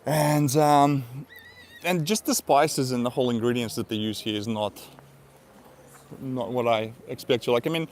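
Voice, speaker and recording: An easygoing man with an Eastern European accent talks to his live viewers in a loose, rambling way, laughing often and filling his pauses with "uh" and "um." His voice sits at an ordinary middle pitch for a man, with faint outdoor hiss behind it. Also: darkish tone. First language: Russian. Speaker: vlogger. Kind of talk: casual live-stream chat.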